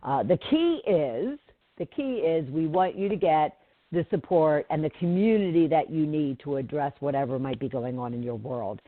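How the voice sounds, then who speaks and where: A woman's voice: deep voice, animated, normal speed, no room sound. A middle-aged woman hosting a live talk radio show, chatting casually with a slightly nasal voice and lots of fillers and restarts. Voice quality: nasally from pollen. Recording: tinny phone-like line.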